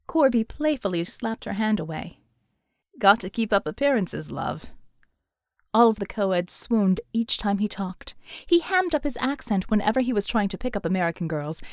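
A woman narrating a scripted audiobook novel in a measured, expressive storytelling manner. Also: mid-range voice, no echo, clean studio sound.

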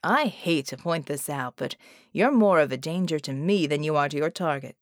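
A woman's voice: low voice, lively intonation, moderate pace, dry recording, clean studio sound. A woman voicing a confident, roguish male genie in an audiobook, drawling as he coaches. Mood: teasing.

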